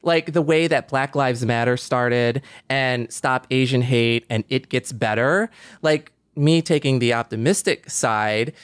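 A man in his forties with a mid-pitched voice, recorded very dry. He speaks earnestly and optimistically as a podcast panelist, halting now and then as he works through a thought. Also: clear, resonant tone, dry recording. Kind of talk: reflective podcast conversation.

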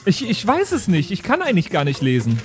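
A man's voice: high-pitched, wildly swooping pitch, fairly quick, faint music behind, voice pushed up. A man voicing a dim, sheepish adventurer in a fantasy audio play, with a hesitant, stammering delivery.

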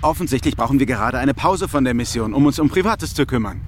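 A man's voice: mid-range voice, lively intonation, fast, soft background music, lightly acted. Earnest, exasperated male ship's doctor played by an actor. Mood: worried, challenging. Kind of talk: audio drama acting.

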